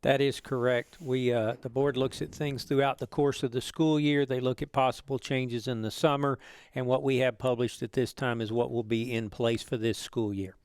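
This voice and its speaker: A man giving a formal, measured, matter-of-fact answer as an official in a webinar. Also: medium pitch, little pitch movement, a little mellow, smooth.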